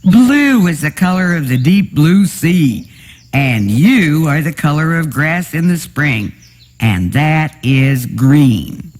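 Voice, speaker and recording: A man's voice: fairly high pitch, expressive, slow pace, voice pushed up. A man voicing a cheerful, teasing male neighbor in a storybook, making playful declarations. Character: cheerful, teasing male neighbor Mister Red, a house painter.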